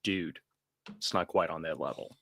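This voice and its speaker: A man with a gravelly voice and a drawl, hosting a casual podcast chat. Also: extremely low pitch, moderate pace, fairly bright tone, slight background noise. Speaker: podcast host.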